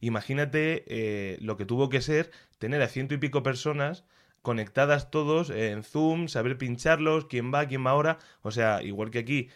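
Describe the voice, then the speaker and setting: A man with a Castilian Spanish accent chats as a culture commentator. His voice sits at a middle pitch. He is colloquial and expressive, giving his opinions freely. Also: moderate pace. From Castilla-La Mancha, Spain. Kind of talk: casual conversational review.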